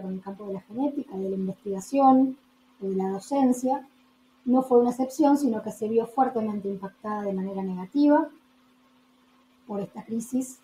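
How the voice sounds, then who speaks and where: An Argentine woman in her forties delivers a prepared academic talk at a measured pace. Her voice is mellow and fairly high, and she stretches key words for emphasis. The room is echoey, with a faint hiss behind her. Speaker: geneticist giving a recorded talk.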